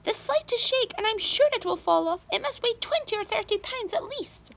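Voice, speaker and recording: A woman's voice: very high voice, expressive, moderate pace, creaky, a bit bright, voice pushed up, exaggerated voice. A woman voicing a thin, bossy, spiteful aunt in a storybook reading, shrieking and snapping. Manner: screechy, snapping.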